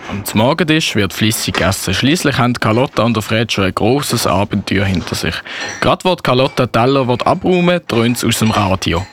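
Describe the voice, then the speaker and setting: A man's voice: mid-range voice, animated, brisk, noisy background. A man narrating a radio play story for children.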